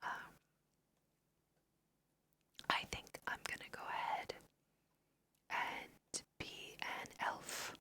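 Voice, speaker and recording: A woman with a slight cold whispering ASMR video game commentary, gently guiding the listener in a breathy, unhurried way. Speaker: let's play host guiding through a video game.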